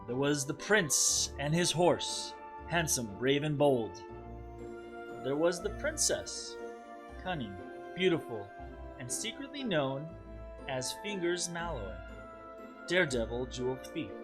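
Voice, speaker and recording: A man reads to children like a teacher putting on a dramatized storybook. His voice is fairly high and swings widely, with slow, deliberate phrasing and light music behind it. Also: sing-song. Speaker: teacher reading aloud to children.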